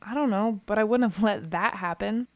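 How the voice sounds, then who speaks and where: An actress plays an anxious young woman fugitive in an audio drama. Her voice is soft and creaky, at a medium pitch, hesitant and caring, recorded dry. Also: moderate pace, no echo, natural voice. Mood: guilty, worried.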